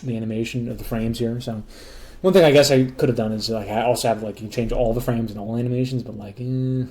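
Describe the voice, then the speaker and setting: A hoarse man casually walking through a demo of software he built, rambling loosely with lots of fillers, restarts and blunt joking asides. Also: mid-range voice, steady tone, fast. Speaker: developer demoing his own software. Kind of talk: casual rambling screen walkthrough.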